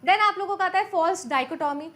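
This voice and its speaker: A woman's voice: very high voice, brisk, pushed and bright. A woman teaching an exam-prep lecture in a chatty way, often repeating her points and asking her students questions as she goes. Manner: mixes Hindi and English terms.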